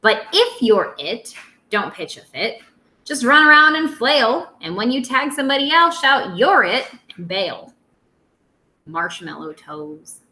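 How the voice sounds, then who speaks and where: Animated, bright-voiced woman reading to children. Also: fairly high pitch, a little slow, reverberant. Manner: animated, dramatic, playful delivery for young children. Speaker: teacher reading aloud to students. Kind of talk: expressive children's read-aloud.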